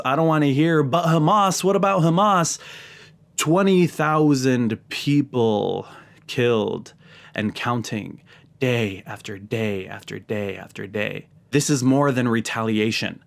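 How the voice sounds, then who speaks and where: An American man speaking earnestly and emphatically, as a host giving religious commentary straight to his viewers. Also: mid-range voice. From United States. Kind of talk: earnest talk to camera.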